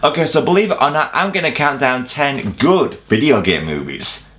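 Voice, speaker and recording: Animated British man, comedic countdown narrator. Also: medium pitch, moderate pace. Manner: sarcastic, sweary, exaggerated. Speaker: host of a video review channel.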